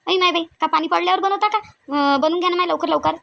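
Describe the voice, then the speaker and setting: A woman's voice: very high voice, quick pace, creaky, fairly bright tone, dry recording, highs cut off, exaggerated voice. A woman with a Varhadi Marathi accent voicing a nagging, sarcastic older village mother-in-law in a family cartoon. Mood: impatient. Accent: Varhadi (Vidarbha) Marathi. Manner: scolding, sarcastic, demanding. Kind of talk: cartoon family comedy acting.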